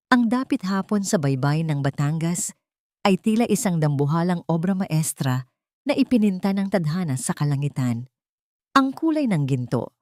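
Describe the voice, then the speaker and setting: Female audio drama narrator, lowish register, measured sentimental storytelling, dry recording. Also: darkish tone, dry recording.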